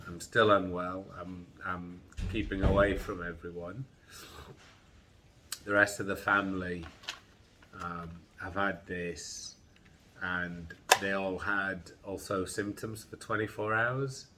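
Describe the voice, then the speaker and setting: A middle-aged man with a congested head and groggy chest, slowly and haltingly describing his illness in a personal vlog. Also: low-pitched, sharp tone, a little hiss or hum. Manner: slow, halting, tired recounting. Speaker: vlogger.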